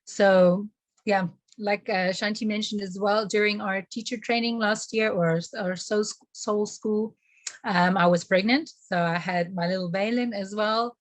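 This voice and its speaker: A young woman, earnest and gentle, sharing personal experiences in a casual chat. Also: medium pitch, fairly even intonation, measured pace, dry recording. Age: young adult. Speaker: guest.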